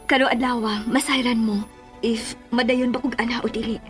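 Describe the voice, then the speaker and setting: A woman's voice: fairly high pitch, level tone, normal speed, faint music behind, natural voice. An actress playing a coy woman in love, reluctant but smitten, in a radio drama. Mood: teasing, guarded.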